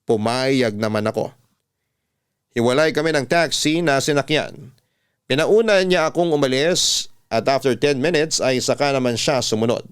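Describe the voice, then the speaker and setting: A man's voice: medium pitch, normal speed, clear, resonant tone. A man with a Filipino accent narrating a first-person story as a radio host, in a dramatic storytelling style. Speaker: radio host reading a listener's letter. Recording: music bed under the voice.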